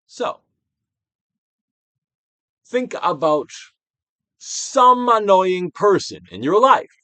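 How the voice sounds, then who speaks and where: A man giving a dramatic, deliberate reading of a self-help book as a guided exercise, with pauses and big swells. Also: high voice, big pitch swings, bright, forceful tone, dry recording. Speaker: audiobook reader and actor.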